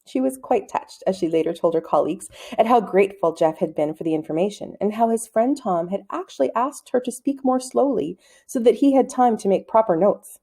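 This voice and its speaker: Female storybook narrator, mellow tone, lively and expressive pitch movement. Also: fairly quick, hardly any pauses, husky. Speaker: read-aloud narrator. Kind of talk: expressive storybook read-aloud.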